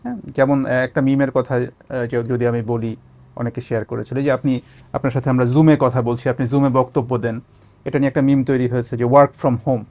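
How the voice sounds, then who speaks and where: A man's voice: medium pitch, flat delivery, normal speed. Bangladeshi male interviewer, slightly muffled. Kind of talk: conversational interview questioning.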